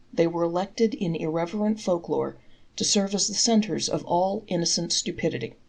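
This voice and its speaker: A woman reading folktales aloud in a measured, articulate storybook narration. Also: fairly low pitch, vocal fry, darkish tone.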